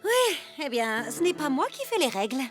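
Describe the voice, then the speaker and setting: A woman's voice: sits high, big pitch swings, normal speed. A woman playing an exasperated, cautious know-it-all female animal in a children's cartoon, fretful and explanatory. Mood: explaining. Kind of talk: French children's cartoon voice acting. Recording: cartoon music under the voice.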